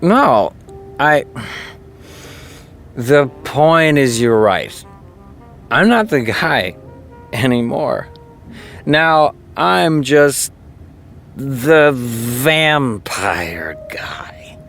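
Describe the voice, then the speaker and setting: A man's voice: medium pitch, very animated, drawn-out words, creaky, pauses often, fairly bright tone, faint music behind, heavily acted. A man voicing a jaded, sarcastic actor in an audio drama, with a dry, drawling delivery. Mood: bitter. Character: jaded actor who plays a vampire, sardonic.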